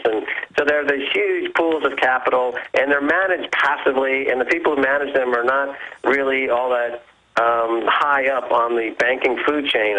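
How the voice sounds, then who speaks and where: A man guest on a radio show explaining pension finance, halting at first with many ums, then fluent. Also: mid-range voice, bright, forceful tone, muffled recording. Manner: hesitant, many fillers and restarts, then fluent. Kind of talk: explanatory interview talk.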